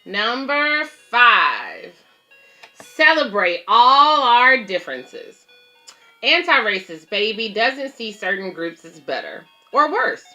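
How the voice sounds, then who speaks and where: A woman's voice: a little high, sing-song, takes it slowly, sharp tone, highs cut off, faint music behind. A woman reading a picture book aloud as a babysitter, explaining it simply to children and parents with casual asides. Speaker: babysitter and children's story reader.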